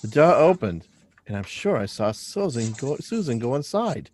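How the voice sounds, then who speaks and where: A man's voice: mid-range voice, big pitch swings, normal speed, a little mellow. A man reading the part of an observant, insistent schoolboy in a radio play, with an earnest manner. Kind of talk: live radio drama script reading.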